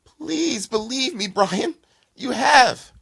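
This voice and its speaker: A man's voice: extremely high pitch, lively intonation, takes it slowly, vocal fry, pushed and bright, slightly rough, pitched above the performer's own voice, exaggerated voice. A man voicing a frightened, humbled young bully bull who pleads earnestly in a children's story. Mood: tearful pleading.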